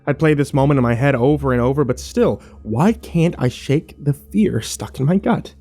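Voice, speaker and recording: A man playing a grim, fearful survivor, narrating his ordeal in a brooding, measured first-person horror style. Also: medium pitch, expressive, dark tone, soft background music. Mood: dread.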